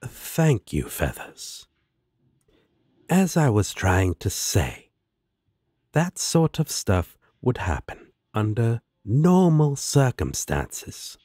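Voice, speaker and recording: Man acting a smug, slow-drawling male demon. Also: mid-range voice, huge pitch swings, a little mellow, no room sound, studio quality, exaggerated voice. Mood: teasing, smug. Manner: drawling, sardonic, teasing. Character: smug, teasing male demon, sardonic yet protective. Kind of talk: audio roleplay acting.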